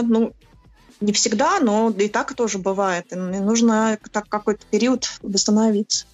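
A woman's voice: mid-range voice, no echo. Woman in conversation, slightly dull recording. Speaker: interview guest, actress and film producer. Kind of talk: conversational interview answers.

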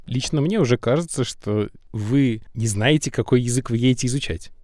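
Guy hosting an interview, soft dark tone, dry studio-like sound. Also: medium pitch, a little fast, no echo. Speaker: interview host.

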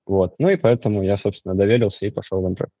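A man in his twenties from Belarus answers interview questions casually. His voice is dark, relaxed and a little low. The sound is very dry and slightly muffled. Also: racing, slightly rough, no echo. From Vitebsk region, Belarus. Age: 20s. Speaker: interview guest, Android developer.

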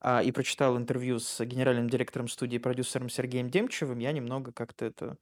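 A man's voice: mid-range voice, level tone, quick pace. A man hosting an interview in a conversational, informal way, searching for his words as he builds up a question. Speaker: interviewer, host.

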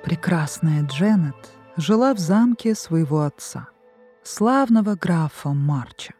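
A woman narrates a scripted fairy tale as a storyteller, in an expressive, measured way, with music behind her. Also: fairly low pitch. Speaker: storyteller, voice artist. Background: background music.

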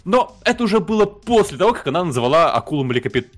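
A man's voice: fairly high pitch, very animated, quick pace. A man co-hosting a casual film discussion podcast, arguing his points and making pointed objections. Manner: argumentative, makes pointed objections. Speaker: podcast co-host.

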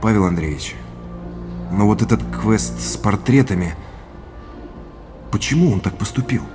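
An actor playing a sincere, grateful heir in an audio drama, speaking in measured, earnest short phrases. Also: male voice, lowish voice, lively intonation, over music, lightly acted. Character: Oleg, sincere grateful heir to a family legacy.

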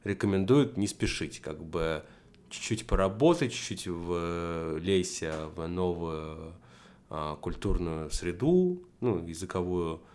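A man's voice: low-pitched, lively intonation, takes it slowly, a little mellow. A young man in a casual conversation, explaining his points in a structured way with frequent fillers. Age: young adult. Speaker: podcast guest.

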